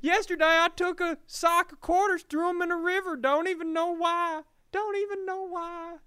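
A man voicing a dim-witted, gullible country bumpkin with a Southern accent and an earnest, folksy drawl in a high, strained voice. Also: level tone, normal speed, pushed and bright. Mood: baffled, simple.

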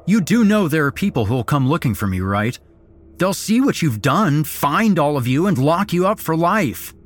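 A man voicing a terrified young male captive, shouting in frantic, high-pitched panic. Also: big pitch swings, moderate pace. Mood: defiant.

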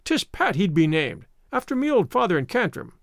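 A man as a blustering, animated Irish husband. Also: high-pitched, a little fast, no room sound, studio quality, full character voice. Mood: contrary. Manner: rough, sardonic, blustering. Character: gruff, argumentative middle-aged Irish husband, secretly soft-hearted.

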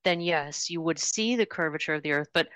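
A woman's voice: low voice, fairly quick, fairly bright tone, dry recording. A woman in casual conversation, thinking aloud in a thoughtful way as a guest on a talk show.

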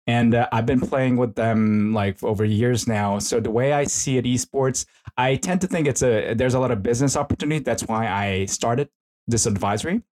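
A man's voice: mid-range voice, moderate pace, darkish tone. Middle-aged man with a Korean accent. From South Korea. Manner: hesitant, rambling, informal phrasing. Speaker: esports consultant and professor, interview guest. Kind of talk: casual conversational interview.

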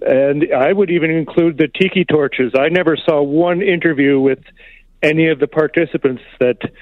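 A man's voice: on the high side, normal speed. A man calling a radio show by telephone, arguing insistently and pushing back as he talks over the host. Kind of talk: argumentative phone-in debate. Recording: thin, band-limited phone line.

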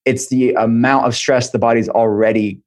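A man's voice: medium pitch, steady tone, moderate pace. A man speaking as an expert guest in an interview, explaining point by point and repeating phrases for emphasis. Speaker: guest expert, functional medicine practitioner.

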